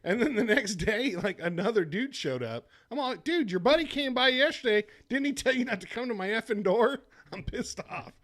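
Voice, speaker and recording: High, lively man telling stories. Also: fairly quick. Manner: animated storyteller, laughs often. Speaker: podcast co-host. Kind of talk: casual chat.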